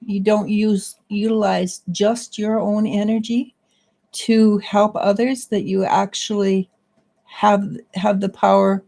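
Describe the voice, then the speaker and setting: A middle-aged woman giving a casual psychic reading, halting and restarting as she searches for words. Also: medium pitch, vocal fry, dark tone. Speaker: psychic reader. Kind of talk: casual spiritual reading and chat.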